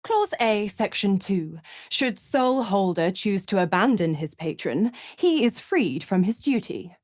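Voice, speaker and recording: An actress playing a seductive, mocking, imperious female devil in a video game, with a sultry, taunting and theatrically drawn-out delivery. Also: mid-range voice, big pitch swings, darkish tone, no room sound, studio quality. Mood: imperious, bargaining.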